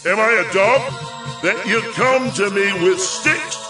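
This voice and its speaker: An actor playing a menacing, scornful giant warrior in a dramatized audio Bible, taunting in a declamatory way. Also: male voice, high voice, measured pace. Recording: distant voice over prominent music.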